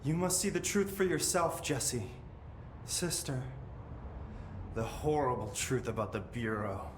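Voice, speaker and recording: An actor playing an eerily calm, detached man who speaks slowly and flatly. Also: medium pitch, natural voice. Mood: urging. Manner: slow, flat, eerily calm. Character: eerie, detached man, brother held in captivity, possessed. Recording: echoey room with background noise.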